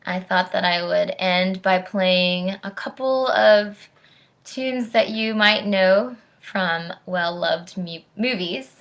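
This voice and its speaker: An American woman reading aloud and chatting to young children in an expressive storytime manner. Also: medium pitch, takes it slowly. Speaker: orchestral musician, guest storytime reader.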